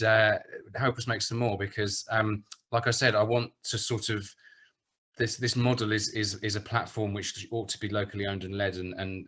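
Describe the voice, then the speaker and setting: A man's voice: sits low, fairly bright tone. A man giving informal closing remarks as a producer on an online panel. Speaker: audio play project producer.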